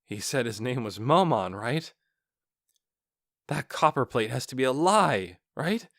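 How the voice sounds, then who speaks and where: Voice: mid-range voice, lively intonation, unhurried, dry recording, clean studio sound. A man voicing an awestruck, shaken town guard in an audiobook, speaking in incredulous questions and exclamations. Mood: amazed.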